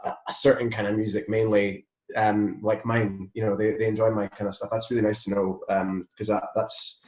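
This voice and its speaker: Scottish male guest, lowish pitch, informal conversational delivery, echoey room sound. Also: little pitch movement, normal speed, a little mellow. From Scotland. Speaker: interview guest, musician and songwriter. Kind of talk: casual interview chat. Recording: roomy, echoing sound.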